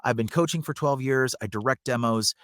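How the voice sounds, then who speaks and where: A man's voice: mid-range voice, a little fast, fairly bright tone, clear, resonant tone, no room sound. A middle-aged man speaking earnestly and conversationally as a guest in a podcast interview, a working voice actor and coach.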